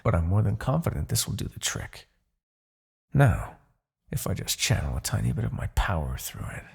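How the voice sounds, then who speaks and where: A man playing an arrogant, possessive demon king in a husky, theatrical ASMR fantasy roleplay. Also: lowish voice, very animated, heavily acted. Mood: menacing, intrigued. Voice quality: husky, gravelly. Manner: theatrical, deliberate, swinging from murmurs to outbursts. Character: arrogant, possessive male demon king, obsessed with captive hero. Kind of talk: ASMR roleplay, dramatic monologue.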